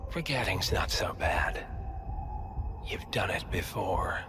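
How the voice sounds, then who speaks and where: Sinister older male mastermind, deep voice, slow gloating half-whisper, loud score. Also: expressive, over music. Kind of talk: video game cutscene acting. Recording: game cutscene with loud score.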